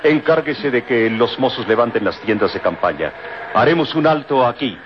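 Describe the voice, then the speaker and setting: Male actor as expedition professor, mid-pitched, authoritative, grave, middle-aged, hissy old radio drama. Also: lively intonation, lightly acted. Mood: firm, concerned. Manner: authoritative, measured. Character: grave, commanding professor and father, expedition leader. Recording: muffled, noisy old radio drama recording.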